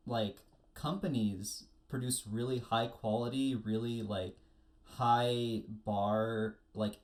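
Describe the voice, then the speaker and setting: Male American podcast panelist, mid-pitched, casual and animated storyteller who slips into quoted reactions. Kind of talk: casual chat about anime.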